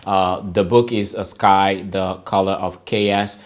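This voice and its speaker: A man's voice: sits low, steady tone, slow. A man hosting a broadcast interview, introducing and questioning a guest. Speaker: TV interview host.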